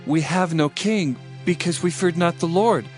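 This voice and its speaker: A man's voice: on the high side, normal speed, soft background music, natural voice. A man voicing frightened people of Israel crying out in despair in a dramatized scripture reading.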